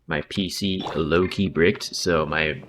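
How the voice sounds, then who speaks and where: A man's voice: very deep voice, steady tone, slow pace, fairly bright tone, a little hiss or hum. A man chatting casually on a game livestream in a laid-back, slangy way, muttering asides. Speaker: game streamer. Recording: background game music. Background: video game music.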